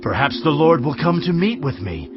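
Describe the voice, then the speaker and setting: Declamatory ancient prophet, swooping pitch. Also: male voice, sing-song, moderate pace. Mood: firm. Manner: declamatory, theatrical. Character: proud, fiery ancient male prophet. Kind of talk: dramatised audio Bible acting. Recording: dull audio under loud music.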